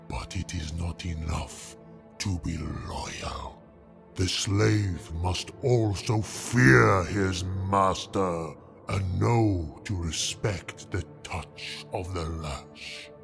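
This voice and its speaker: A man voicing a cruel, imperious armored dark-elf overlord in a slow, threatening, rumbling growl for a fantasy audiobook. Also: exaggerated voice. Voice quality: deep rumbling gravelly growl. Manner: slow, threatening, pompous pronouncements.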